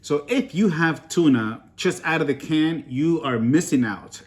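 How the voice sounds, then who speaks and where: A man from Peru hosting a cooking vlog, talking through a recipe in an upbeat, chatty way with small jokes at his own expense. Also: on the high side. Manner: upbeat, chatty, self-joking. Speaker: cooking vlogger. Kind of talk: casual cooking tutorial.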